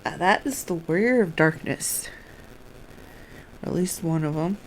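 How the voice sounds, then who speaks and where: A woman's voice: fairly low pitch, lively intonation, a little slow, slight background noise, rough recording. A woman giving casual live commentary as she streams a game.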